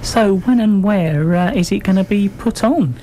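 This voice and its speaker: A woman asking radio interview questions in a halting, hesitant way. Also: mid-range voice, warm tone, poor audio. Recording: faint background noise and ambience.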